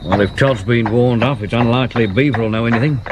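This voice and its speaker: A man's voice: mid-range voice, fast talker, natural voice. Guy playing british victorian police sergeant, respectful, plain-spoken, mid voice, noisy horse street behind. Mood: practical, doubtful. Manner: matter-of-fact, respectful. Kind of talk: 1970s BBC radio drama acting.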